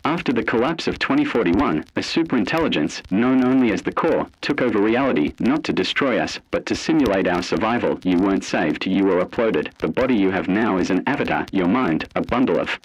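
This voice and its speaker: Low-voiced, husky man narrating analog horror. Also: animated, fairly quick, hardly any pauses, rough recording.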